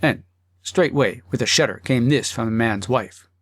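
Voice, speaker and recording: A man narrating a humorous literary story as an audiobook, phrasing it deliberately and expressively with pauses. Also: medium pitch, dry recording, clean studio sound.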